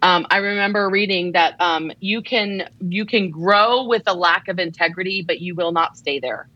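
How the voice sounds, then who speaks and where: A woman's voice: lowish voice, moderate pace, pushed and bright, no room sound, highs cut off. A woman co-hosting a podcast, chatting casually and affirming her co-hosts while giving advice. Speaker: podcast co-host.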